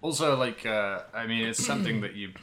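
A man's voice: fairly low pitch, fairly quick, a bit bright. A man co-hosting a podcast about video games, chatty and meandering as he thinks aloud in casual conversation. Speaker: podcast co-host. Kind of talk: casual video game discussion.

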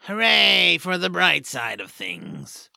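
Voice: medium pitch, wildly swooping pitch, slow, gravelly, pushed and bright, no room sound, studio quality, full character voice. A performer voicing a scrappy little troll, an anxious and reluctant hero who grumbles, in an audio drama. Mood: grumbling.

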